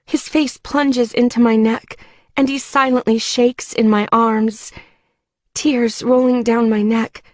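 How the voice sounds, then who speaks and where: Female audiobook narrator, slightly high voice, unhurried, emotive first-person storytelling. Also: flat delivery, dry recording, clean studio sound.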